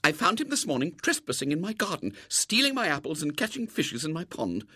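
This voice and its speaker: A man's voice: a little high, very animated, brisk, husky, no room sound, clean studio sound, pitched above the performer's own voice, exaggerated voice. A man voicing a severe, prim and gullible woman in a storybook, with a proper manner. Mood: chatty, confiding. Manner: grim and sharp, softening to gracious.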